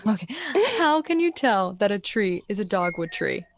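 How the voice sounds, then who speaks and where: A jokey, proud outsider leaf in a radio play, acted by a young woman. The delivery is wry and sure of itself at a medium pitch, with faint noise behind it. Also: big pitch swings, moderate pace, close to an everyday voice. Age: young adult. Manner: wry, self-assured. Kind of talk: student radio play acting. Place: small improvised home recording space.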